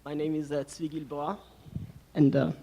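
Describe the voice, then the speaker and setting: Man, gravelly, dark mellow tone, hesitant panelist. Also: fairly high pitch, flat delivery, moderate pace, a little hiss or hum. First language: Hebrew. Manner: hesitant, many "uh"s. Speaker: Hebrew language coordinator. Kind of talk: panel introduction.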